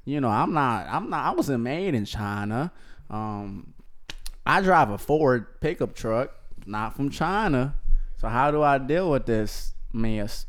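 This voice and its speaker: A man's voice: medium pitch, lively intonation, slow. A man voicing a bigoted Southern caller to a radio show in a put-on mixed Southern accent, hesitant and drawn out. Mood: complaining.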